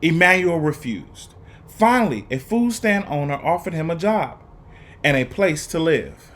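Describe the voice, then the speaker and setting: A man reading a children's picture book aloud, phrasing it dramatically and deliberately with emphatic pauses. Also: fairly high pitch, sing-song, fairly bright tone. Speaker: storybook reader.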